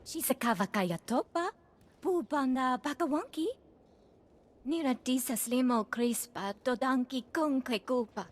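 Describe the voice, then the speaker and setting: A female alien in a video game. She speaks a rhythmic invented tongue in a fairly high voice. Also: a little slow. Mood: businesslike.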